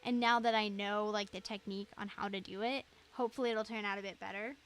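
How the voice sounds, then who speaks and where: A woman's voice: mid-range voice, steady tone, fast, slight background noise. A young woman live streamer chatting casually in a giggly, rambling way.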